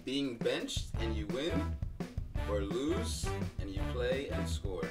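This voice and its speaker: A man's voice: medium pitch, very animated, takes it slowly. A man in his twenties co-hosting a show with casual banter and questions at a studio table. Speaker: co-host. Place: small indoor studio at a table. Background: music.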